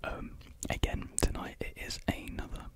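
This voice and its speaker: Young British male, close-miked breathy whisper, casual and hesitant ASMR chat. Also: normal speed. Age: young adult. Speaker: ASMR video creator. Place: small indoor room at home. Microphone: large USB desktop mic, very close.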